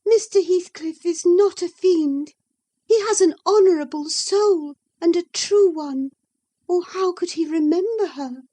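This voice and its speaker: Woman doing a high, wounded young lady. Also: a little slow, warm tone. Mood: tearful. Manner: high, wounded, pleading outbursts. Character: infatuated young woman, tearful and indignant.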